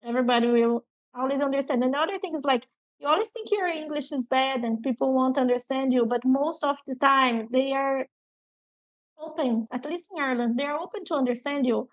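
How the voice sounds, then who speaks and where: A high-voiced woman with a strong Brazilian accent, speaking English as a second language in a relaxed interview chat. She laughs easily between her anecdotes. The sound is dull and lo-fi, like an online call. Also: a little fast. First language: Portuguese. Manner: laughs often while telling stories. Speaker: guest.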